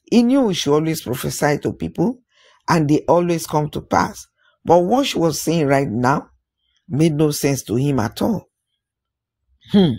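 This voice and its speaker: A man narrating an African folktale as an oral storyteller, with dramatic phrasing, pauses and musing interjections, in a husky voice. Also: a little high, animated, darkish tone.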